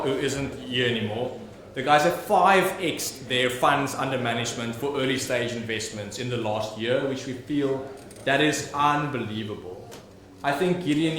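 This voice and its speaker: A man's voice: mid-range voice, fairly bright tone. A man with a South African accent hosting an awards show on stage, slangy and enthusiastic, with casual swearing. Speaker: awards host. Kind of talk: lively stage emceeing. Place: large event hall with audience. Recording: very echoey hall with crowd noise.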